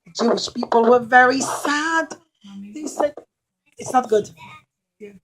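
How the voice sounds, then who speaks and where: A woman's voice: high-pitched, a little slow, a bit bright, low-quality recording. A woman telling a story to young children in simple, repeated phrases, often putting questions to her listeners.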